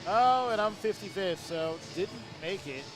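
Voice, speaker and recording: A man's voice: high-pitched, expressive, measured pace, over music. A man hosting a pinball stream, chatting casually and joking, with rambling restarts. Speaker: stream host.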